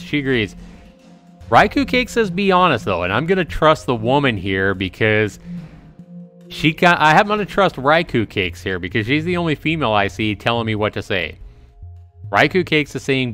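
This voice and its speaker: An animated man hosts a casual game livestream over background music. His mid-pitched voice swings widely as he reads out dialogue and exclaims to his viewers. He talks to the chat directly and informally. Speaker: livestream host playing a visual novel.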